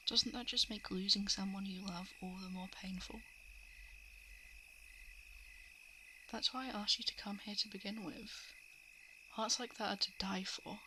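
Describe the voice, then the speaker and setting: A woman's voice: mid-range voice, bright, forceful tone. Woman as a guarded monster girl amid night insects. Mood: confused, coolly menacing. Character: female shapeshifting heart-eating monster, guarded, coolly predatory. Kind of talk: ASMR roleplay monologue. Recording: clear background noise of night insects, frogs. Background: crickets, frogs and night insect ambience.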